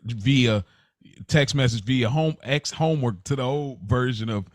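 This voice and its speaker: A man's voice: medium pitch, unhurried, no room sound. Man talking reflectively in a dry room. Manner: reflective, explains at length with "like" and "you know what I mean". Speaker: podcast co-host. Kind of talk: casual conversation.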